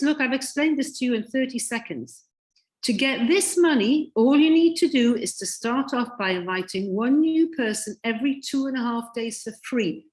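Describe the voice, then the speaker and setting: Croaky woman pushing an eager sales pitch. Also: darkish tone. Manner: insistent, repeats points emphatically. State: croaky from illness. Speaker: presenter on a video call. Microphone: video call.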